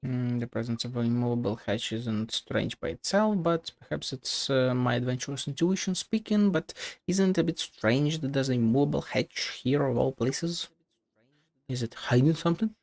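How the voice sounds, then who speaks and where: A woman gives casual, wry play-along commentary on a game. Her voice is very low and gravelly with fry, recorded close and dry. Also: moderate pace, a little mellow, dry recording. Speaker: game streamer.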